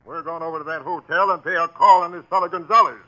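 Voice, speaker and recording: A relentless American police captain in an old radio crime play. His voice is highish and very forceful, terse and badgering. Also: steady tone, fast, low-quality recording. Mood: badgering, stern. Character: stern, relentless police captain interrogating a suspect. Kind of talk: 1940s radio crime acting. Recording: vintage 1940s radio broadcast.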